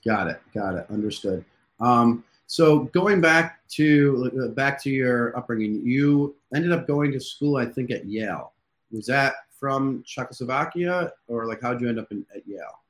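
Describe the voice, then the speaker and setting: A man's voice: medium pitch, expressive, a little slow. A man hosting an interview in a casual style, asking his guest questions. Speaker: interview host. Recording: echoey, roomy sound.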